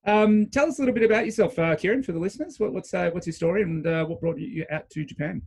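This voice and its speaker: A podcast host, a man, asks his guest friendly, rambling questions and teases himself lightly. His voice sits a touch higher than most men's. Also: fast talker, vocal fry, darkish tone, slightly rough. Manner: friendly, self-mocking prompting questions. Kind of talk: casual interview chat.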